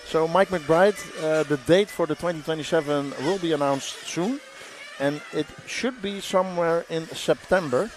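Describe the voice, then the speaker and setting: A man's voice: fairly high pitch, moderate pace, hardly any pauses. Man hosting a noisy trackside livestream. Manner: hesitant, many fillers. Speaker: livestream host. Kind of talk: casual live commentary, reading viewer questions. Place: trackside stage at a race event.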